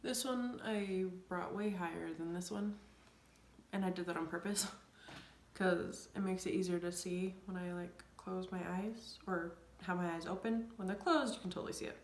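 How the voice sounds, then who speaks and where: A young woman coming down with a cold, chatting through a makeup tutorial in a rambling way, often dropping to soft near-whispers. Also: fairly low pitch, steady tone, moderate pace, slight background noise. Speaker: beauty vlogger. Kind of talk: casual makeup tutorial chat. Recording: echoey room.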